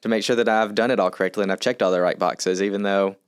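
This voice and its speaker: A man's voice: fairly low pitch, fairly even intonation, fast, smooth, no echo, clean studio sound. A man who works as a real estate agent, a podcast guest, explaining in an earnest, step-by-step way. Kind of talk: casual podcast conversation.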